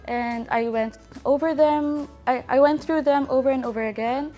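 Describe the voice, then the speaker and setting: A high-pitched woman from the UK, a medical student, explaining the exam step by step with hesitant pauses. Faint music plays underneath. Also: steady tone, warm tone. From United Kingdom. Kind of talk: interview answers.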